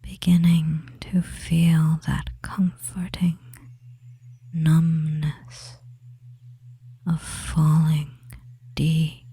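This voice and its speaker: A performer voicing a devious, dominant femboy witch in a breathy ASMR whisper, speaking hypnotically with long pauses. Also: mid-range voice, completely flat delivery, mellow, full character voice. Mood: soothing, dominant.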